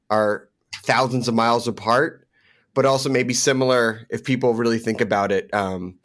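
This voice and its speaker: A man hosting a casual food podcast interview, chatty and loose with fillers. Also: mid-range voice. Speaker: podcast host.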